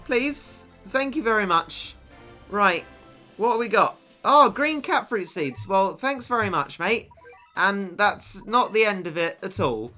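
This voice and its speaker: Lively, bright young man gaming. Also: high-pitched. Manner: excitable, cheeky, stammers on repeats. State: losing his voice. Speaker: gaming YouTuber. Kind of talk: mobile game commentary.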